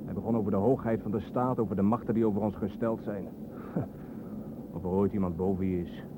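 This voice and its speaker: A man's voice: fairly low pitch, fairly even intonation, a little fast, close to an everyday voice. An actor playing a bitter, sardonic adult son in a radio drama, brooding as he talks. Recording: narrow phone-like sound over vehicle noise.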